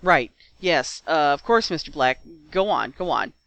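A narrator voicing a bossy male guard who is easily flustered, with a blustering, halting manner. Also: medium pitch, expressive, vocal fry, a bit bright, no room sound. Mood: flustered deference. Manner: barking, then stammering.

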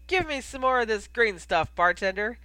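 Storybook sidekick, acted by a reader: drunk young man, cheerful, teasing, lively bright delivery. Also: mid-range voice, moderate pace, smooth, no echo, pitched above the performer's own voice. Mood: loud, cheerful. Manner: mock-serious, playful.

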